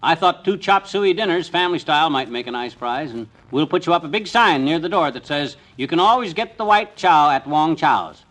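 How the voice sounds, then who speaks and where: Blustery scheming husband in old radio comedy, sharp forceful voice, swings up and down, faint noise. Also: male voice, fairly high pitch, expressive. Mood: wheedling, impatient. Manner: blustery, colloquial, hurried. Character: blustery, scheming small-town husband, excitable and impatient. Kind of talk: 1950s radio comedy acting.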